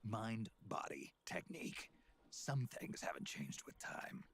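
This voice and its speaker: A male actor playing a gruff, world-weary old veteran soldier in a video game, with a growling, sardonic, terse delivery. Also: on the low side, sing-song, unhurried, fairly bright tone, a little hiss or hum, full character voice. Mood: terse, probing. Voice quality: gravelly, growling. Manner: terse, dry, questioning.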